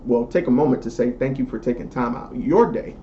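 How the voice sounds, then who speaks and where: A man's voice: mid-range voice, fast talker, mellow, dull audio, slight background noise. A man hosting a casual interview, laid-back and joking with slang. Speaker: host.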